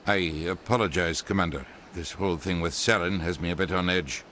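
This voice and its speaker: A very deep-voiced stern official. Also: male voice, fairly quick, fairly bright tone, slight background noise. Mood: apologetic, tense. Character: gruff, edgy, authoritative male official. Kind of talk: video game voice acting.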